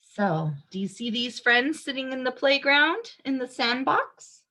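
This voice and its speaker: A woman reading a picture book aloud to young children, expressively, pausing to explain things simply. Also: sits high, lively intonation, sharp tone.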